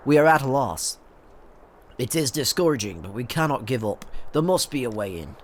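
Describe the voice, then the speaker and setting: A man's voice: mid-range voice, very animated, normal speed. A man with a stuffy nose reading the lines of a formal young male scholar from a game. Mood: earnest.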